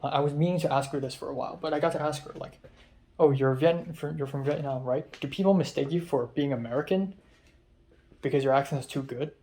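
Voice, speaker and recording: A man's voice: on the high side, fast talker, mellow. A young man from Hong Kong, a film student, rambling through a casual vlog recap of his day with many ums and restarts. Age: young adult.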